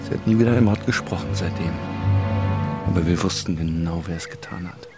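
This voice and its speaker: Radio drama: haunted, bitter cop. Low register, deliberate short phrases, scored with music. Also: male voice. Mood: grieving, bitter. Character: grim, embittered policeman haunted by his sister's fate. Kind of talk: German radio drama acting, intimate confession.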